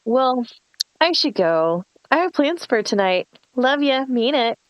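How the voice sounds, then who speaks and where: A woman's voice: high-pitched, sing-song, a little slow, no room sound, highs cut off. A woman acting a mean, bossy, scheming young woman in a horror script table read, with a sarcastic, entitled delivery.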